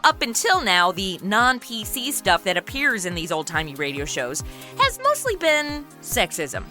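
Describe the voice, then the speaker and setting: Bright, animated woman introducing a podcast. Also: mid-range voice. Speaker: podcast host introducing a bonus episode. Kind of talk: upbeat scripted introduction.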